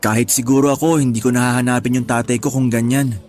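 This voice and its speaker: A man's voice: medium pitch, steady tone, fast talker, a little mellow, close to an everyday voice. A Filipino man playing a shy, smitten young man on a first date in a radio drama, teasing and casual. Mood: curious, casual. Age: young adult.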